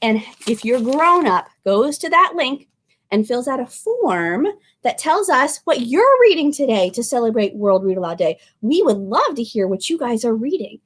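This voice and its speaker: A woman reading a picture book aloud as a children's librarian, expressive, drawing out words and speaking to young listeners. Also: a little high, moderate pace.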